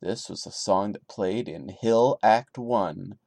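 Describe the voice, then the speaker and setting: A man's voice: on the low side, takes it slowly, gravelly, dark tone, no room sound. A man hosting a podcast, giving a dramatic reading broken up by sarcastic, exasperated asides. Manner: sarcastic, exasperated commentary breaking into the narration. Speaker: podcast host.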